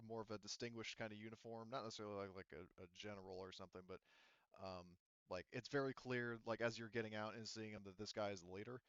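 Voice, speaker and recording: A man acting as game master, narrating a roleplaying game in a halting, conversational, improvised way. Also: on the low side, clean tone, no echo, highs cut off.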